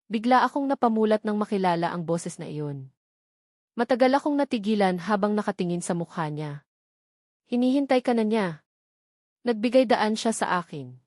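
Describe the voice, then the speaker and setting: A woman's voice: medium pitch, a little mellow, dry recording, studio quality. A woman reading a dramatic first-person story as a scripted narrator. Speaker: narrator of a romance novel.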